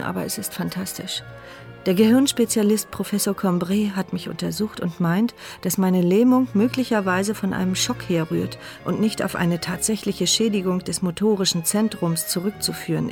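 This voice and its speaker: Actress as paralysed young woman, inner monologue, reflective, measured, radio drama, faint music. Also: medium pitch, creaky, a little mellow, close to an everyday voice. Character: paralysed young woman, narrator of her story.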